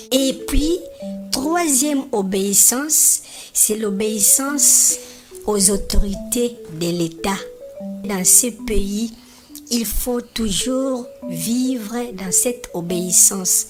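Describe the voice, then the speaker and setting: A woman voicing a kindly, devout grandmother who patiently teaches her grandchildren in an animated religious film. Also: sits high. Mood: joyful praise. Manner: patient, instructive, often checks understanding.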